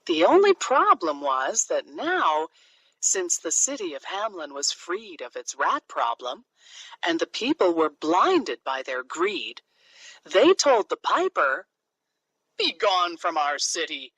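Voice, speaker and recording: A woman narrating a children's fairy tale from a script, in an expressive, deliberate storytelling manner. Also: on the low side, husky, no echo, rough recording.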